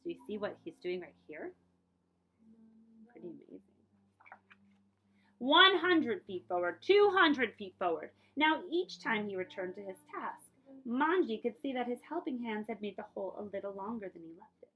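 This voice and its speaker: A woman's voice: medium pitch, normal speed, slightly rough, rough recording. Woman reading a storybook aloud. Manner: expressive storytelling, putting questions to young listeners. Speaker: librarian reading a picture book aloud. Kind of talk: children's read-aloud with asides to listeners.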